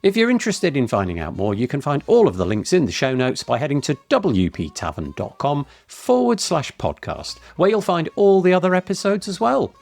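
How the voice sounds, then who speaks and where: A male podcast host with a lively, swooping delivery and a fairly high voice. He reads his introduction with plenty of movement in pitch, and faint music sits underneath. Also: a little fast, gravelly, hardly any pauses, no echo. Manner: lively, rising and falling delivery. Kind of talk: scripted podcast intro and interview.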